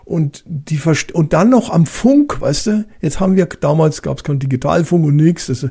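A man's voice: on the high side, animated, moderate pace, vocal fry, dark tone, slightly rough. A middle-aged man with a Franconian German accent, a former police officer telling stories in a loose, colloquial way with many fillers and restarts. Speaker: retired police officer guest. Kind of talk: casual anecdotal storytelling.

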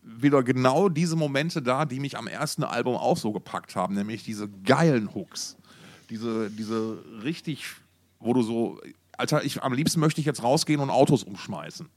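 Man chatting casually on a music podcast. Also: medium pitch. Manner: colloquial, slangy, thinking aloud with broken, repeated phrases. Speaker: podcast co-host.

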